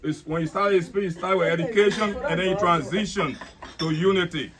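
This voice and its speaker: A man giving a formal thank-you speech at an outdoor barbecue. His voice sits a little high for a man, and he moves at a measured pace, repeating words as he reaches for the next phrase. A busy crowd chatters all around him. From Liberia. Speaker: board secretary giving a speech.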